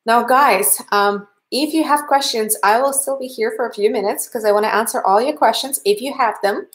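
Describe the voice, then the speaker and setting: A woman's voice: a little high, moderate pace. A woman in her thirties with a Russian accent, chatting in a friendly, upbeat way as she wraps up a livestream painting class from a home studio. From Canada. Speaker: painting instructor. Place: home art studio, indoors.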